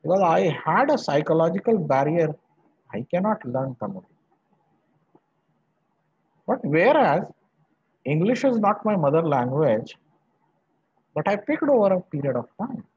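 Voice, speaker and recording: Husky Indian professor, muffled audio. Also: male voice, on the high side, very animated, darkish tone, no room sound. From Varanasi, northern India. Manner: emphatic, halting short phrases with repetition. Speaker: university professor. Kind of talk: lecture to online students. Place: small indoor room. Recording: muffled, dull video-call audio.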